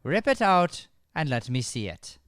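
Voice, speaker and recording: Man playing a stern, exasperated schoolteacher, higher-pitched voice. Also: big pitch swings, a little slow, a bit bright, clean tone, no room sound, studio quality. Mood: suspicious. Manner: interrogating, repetitive, flustered when challenged. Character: stern, exasperated male schoolteacher scolding a child in detention. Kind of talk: improvised old-time radio comedy acting.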